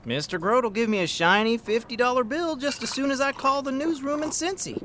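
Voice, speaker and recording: An actor playing a stubborn, money-minded 1930s tavern keeper in an audio drama, wry and businesslike. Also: male voice, very high-pitched, fairly quick, sharp tone, a little hiss or hum. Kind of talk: period audio drama acting.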